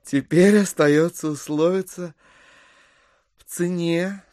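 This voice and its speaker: An ingratiating gentleman schemer in a classic audio drama. His voice is on the high side and slow, declaiming with florid, unctuous courtesy. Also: fairly even intonation, no echo, studio quality. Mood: measured, businesslike. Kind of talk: theatrical Russian classic audio drama acting.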